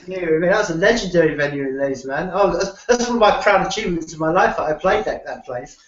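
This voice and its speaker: A man's voice: fairly high pitch, expressive, fast talker. A male interviewer, asking questions casually with many hesitant false starts. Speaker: radio show host interviewer. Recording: roomy, dull lo-fi sound.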